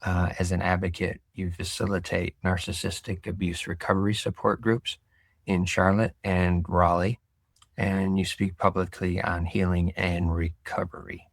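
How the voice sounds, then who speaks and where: A man hosting a podcast interview, speaking in a relaxed, reflective way with filler pauses. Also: low voice, creaky, dry recording. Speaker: podcast host. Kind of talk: casual interview.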